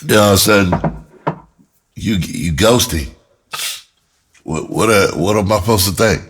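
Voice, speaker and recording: A middle-aged man with an American accent and a very deep voice that has a husky edge. He talks casually and candidly, like someone telling his own story. His delivery rambles and is full of slang. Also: unhurried, a bit bright. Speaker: storyteller, writer and music producer. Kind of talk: casual, explicit personal storytelling.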